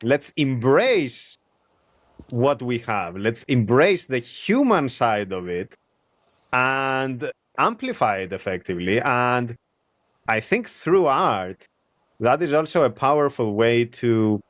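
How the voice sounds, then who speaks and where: A man hosts a relaxed conversation, speaking with lively energy and breaking into little acted-out remarks as he makes his points. His pitch is mid-range. The sound is dry and close, with no room echo. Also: takes it slowly. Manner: animated, acts out imagined quotes, laughs easily. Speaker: podcast host. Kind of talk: casual conversation.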